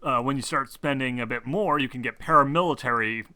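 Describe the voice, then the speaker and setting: A man's voice: mid-range voice, brisk, background noise. A man acting as game master, explaining options casually with sweary, exasperated asides to his players.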